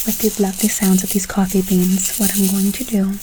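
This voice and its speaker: A woman playing a doting, whimsical forest witch in an ASMR roleplay, cooing endearments and gently reassuring her listener. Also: mid-range voice, little pitch movement, brisk, background noise, lightly acted. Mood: whimsical, savoring.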